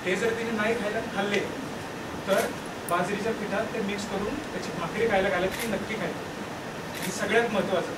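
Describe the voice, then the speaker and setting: A man acting as an earnest village veterinary doctor in a stage drama, explaining gravely and deliberately, phrase by phrase. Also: sits high, fairly even intonation, close to an everyday voice. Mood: instructive. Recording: echoey room, distant mic, loud background noise.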